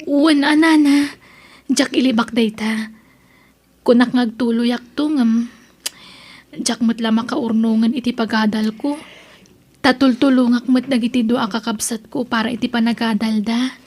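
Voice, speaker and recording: Woman playing dutiful young daughter, high husky voice, Ilocano accent, earnest, deferential, radio drama. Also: fairly even intonation, a little slow, long pauses, darkish tone. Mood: earnest, hopeful. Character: dutiful, grateful daughter of twenty-five, an aspiring teacher.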